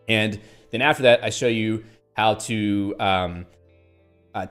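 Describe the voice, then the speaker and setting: A man hosting a casual livestream, chatting in a rambling way with fillers and restarts and reading out viewer comments. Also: fairly low pitch. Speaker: livestream host.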